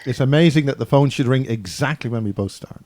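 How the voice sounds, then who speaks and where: A man's voice: mid-range voice, fairly quick, darkish tone, no room sound. Jokey middle-aged male interviewer. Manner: jokey, stumbling, chatty. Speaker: interview host. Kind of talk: casual interview chat.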